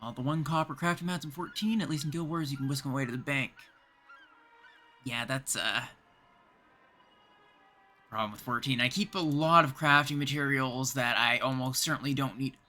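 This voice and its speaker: A man's voice: mid-range voice, moderate pace, pauses often, bright, forceful tone, soft background music. A man with a Canadian accent, chatting casually as a livestream host and thinking aloud while he plays.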